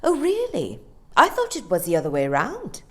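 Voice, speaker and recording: A haughty storybook nanny, acted by a woman reading aloud, curt and scornful. Her pitch swings widely as she issues crisp, imperious remarks. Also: wildly swooping pitch, normal speed, a bit bright. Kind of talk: children's storybook character voice.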